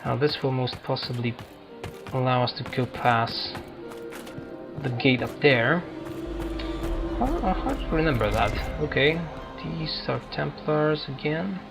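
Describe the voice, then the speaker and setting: Slow, gravelly man over game music. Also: mid-range voice. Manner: musing, halting, thinking aloud. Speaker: gamer roleplaying through a video game. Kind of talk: casual let's-play commentary. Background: video game music.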